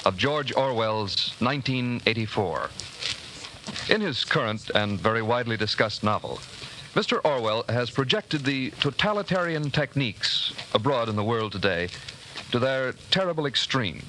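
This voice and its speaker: A man's voice: mid-range voice, animated, moderate pace, bright, forceful tone, a little hiss or hum, low-quality recording. A man announcing a radio drama in formal, declamatory 1940s broadcast style. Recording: vintage 1949 radio broadcast.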